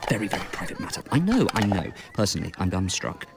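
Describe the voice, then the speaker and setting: This is a nosy gossip in a radio play. His voice sits fairly low, and he chatters effusively and knowingly in short bursts. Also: sing-song, fast talker, vocal fry, heavy background noise, heavily acted. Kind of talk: radio drama acting.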